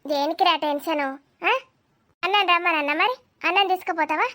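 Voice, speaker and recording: A woman's voice: very high-pitched, a little fast, bright, forceful tone. Woman as a piping, nosy Telangana village aunt. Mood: fussing concern. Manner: inquisitive, chattering. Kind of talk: cartoon village comedy acting.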